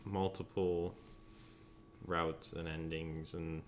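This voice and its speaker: A man on a casual roundtable, giving his thoughts in a tentative, halting way. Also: low-pitched, little pitch movement, fairly bright tone, slight background noise. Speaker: roundtable panelist. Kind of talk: casual chat.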